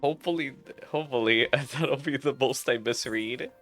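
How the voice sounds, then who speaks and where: A man's voice: medium pitch, moderate pace, fairly bright tone. A sleepy man chatting casually and self-deprecatingly as he comments on a game he is streaming. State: sleepy, just woke up. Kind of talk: casual livestream commentary over gameplay. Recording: music behind the voice. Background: game music.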